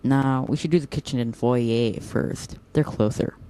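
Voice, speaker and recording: A man's voice: mid-range voice, steady tone, unhurried, warm tone, slight background noise, natural voice. A man playing a whimsical, teasing young operative in an audio drama, talking in a playful, mock-dramatic way.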